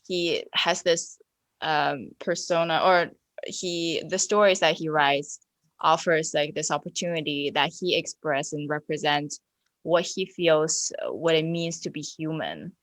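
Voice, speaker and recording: A woman's voice: low voice, a little slow, no echo. A woman on a podcast panel reflecting casually and hesitantly as she searches for words.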